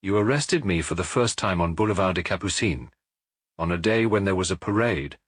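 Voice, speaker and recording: A man's voice: low-pitched, animated, a little fast, breathy edge, dry recording, clean studio sound. A man voicing a jovial, garrulous former pickpocket, no longer young, in an audiobook detective story. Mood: merry, familiar. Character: merry, chatty ex-pickpocket, a reformed petty crook past his youth.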